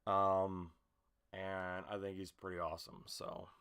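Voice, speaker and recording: Hesitant man with a bright, clear voice. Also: low-pitched, flat delivery, a little slow. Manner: hesitant, rambling, self-conscious. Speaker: amateur voice actor recording an audition video. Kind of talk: casual vlog chat. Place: small home room with a mattress against the door.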